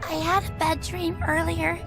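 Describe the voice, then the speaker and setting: A very high-pitched, childlike voice for a timid little girl in a video game. She sounds small and lonely, with music playing clearly behind her. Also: level tone, moderate pace. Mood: timid, sad. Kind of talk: English-dubbed video game acting.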